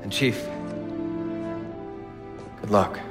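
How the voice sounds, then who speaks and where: An actor playing an earnest, loyal naval commander in a video game, with a gravelly voice and sincere manner. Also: male voice, extremely slow, fairly bright tone, faint music behind. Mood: earnest, conspiratorial. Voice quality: low, gravelly. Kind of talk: video game cutscene acting.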